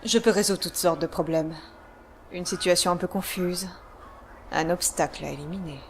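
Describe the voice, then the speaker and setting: Girl doing a cool tough game heroine, lowish voice, dry threats, bit of background noise. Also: normal speed, close to an everyday voice. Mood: cool, bargaining. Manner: cool, assertive, dryly threatening. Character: cool, menacing female adventurer investigating a murder. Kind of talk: video game fan dub acting.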